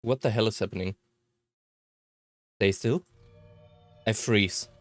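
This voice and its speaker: A man reading a visual novel aloud in a halting, slightly theatrical way. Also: fairly low pitch, no room sound, soft background music. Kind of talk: expressive read-aloud narration of a visual novel.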